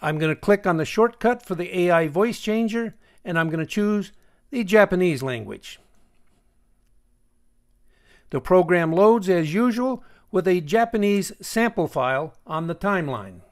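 Guy with a pretty high voice doing a screen tutorial, explains stuff carefully, stresses his points. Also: lively intonation, normal speed. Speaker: software tutorial host. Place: voice-over for a screen recording.

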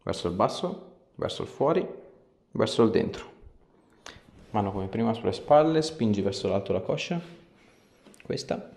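Creaky-voiced chiropractor in an echoey room. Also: male voice, lowish voice, expressive, moderate pace, pauses often. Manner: short, gentle, repeated instructions. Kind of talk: instructing a patient during treatment. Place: small indoor treatment room. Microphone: camera a few steps away. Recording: roomy echo, camera across small room.

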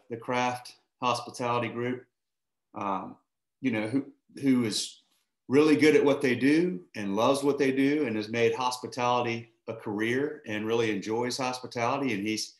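Rambling podcast host man, roomy sound. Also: mid-range voice. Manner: friendly, rambling with fillers and restarts. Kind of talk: interview conversation.

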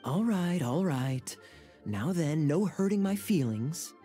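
A man voicing a suave young knight captain in a game, sly and smoothly amused. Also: a little high, animated, takes it slowly, warm tone, soft background music. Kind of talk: game voice acting.

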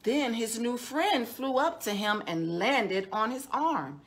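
A middle-aged woman, a children's storytime host, reading a picture book aloud in a measured, deliberate way with drawn-out words. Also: on the high side, animated. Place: indoors, small decorated room.

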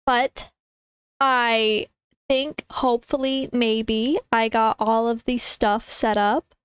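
A young woman on a live stream with a high, clean voice that sounds a little stuffy and congested. She talks slowly and chattily, greeting viewers by name and stumbling over her words. The recording is dry and close. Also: level tone, smooth, dry recording. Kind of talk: casual stream chat.

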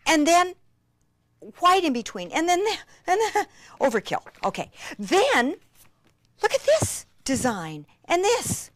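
A woman giving an enthusiastic hands-on craft demonstration, gushing and breathlessly excitable, with hushed asides. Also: very high-pitched, takes it slowly, no echo. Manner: gushing, breathlessly excited, with frequent gasps and exclamations.

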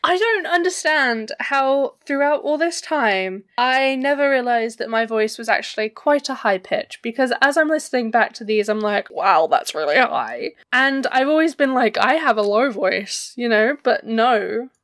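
A woman's voice: a little high, expressive, normal speed, few pauses, a bit bright, dry recording, studio quality. A young adult woman vlogger giving casual, self-mocking reaction commentary from her bedroom, laughing often. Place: bedroom, indoors.

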